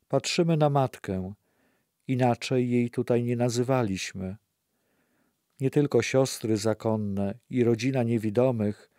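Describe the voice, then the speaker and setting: A man reading scripted narration for an audio play in a measured, solemn manner. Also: mid-range voice, mellow, no room sound, clean studio sound.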